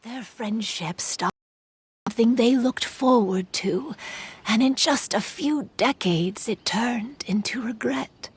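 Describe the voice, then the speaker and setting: A woman's voice: on the high side, slightly rough, heavily acted. A woman voicing a wise, sorrowful female yokai spirit, telling a tale solemnly in a game cutscene. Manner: slow, solemn, melancholy telling.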